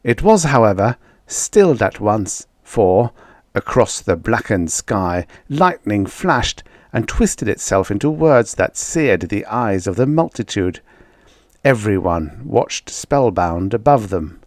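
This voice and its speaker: A man with a fairly low voice narrates a science fiction audiobook. His delivery is measured and dramatic, leaning on key words for emphasis. The sound is dry and close, with little room in it. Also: big pitch swings, dry recording. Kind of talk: scripted narration of vintage science fiction stories.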